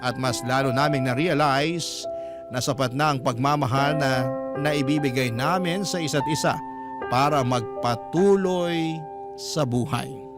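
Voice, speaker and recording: A man narrating an emotional story as a radio host, measured and sentimental. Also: mid-range voice, over music. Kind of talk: dramatic first-person story narration.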